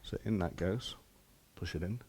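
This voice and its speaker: A man's voice: low-pitched, normal speed, darkish tone, slight background noise. A man giving an informal hands-on demonstration as a shop presenter, explaining step by step in plain, casual words.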